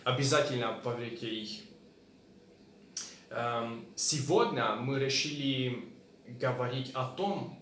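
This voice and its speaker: A man's voice: mid-range voice, fairly bright tone, roomy sound, slight background noise. A man, not a native speaker, hosting a casual interview and speaking with frequent hesitant fillers. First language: not Russian. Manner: hesitant, many fillers between words. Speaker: host, language learner.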